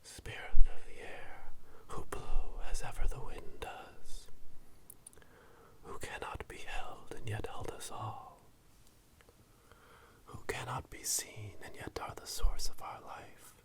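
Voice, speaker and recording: Slow whispering witch acted out for ASMR. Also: medium pitch, steady tone, lightly acted. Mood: solemn, reverent. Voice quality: breathy unvoiced whisper. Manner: slow, solemn, chanting, ceremonial. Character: solemn witch leading a dark coven ritual invocation. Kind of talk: whispered ASMR roleplay, scripted incantation. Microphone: close binaural microphone, ear to ear.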